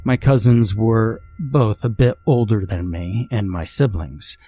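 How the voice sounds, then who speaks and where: Man, low-pitched, very dark mellow tone, measured horror story narration. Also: breathy edge, faint music behind. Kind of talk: scripted first-person story narration.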